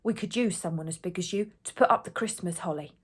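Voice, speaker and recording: A woman playing a brisk, challenging storybook gatekeeper, a man who is wary but gullible. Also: on the low side, husky. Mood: wavering. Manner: brisk, challenging, shouting through the gate.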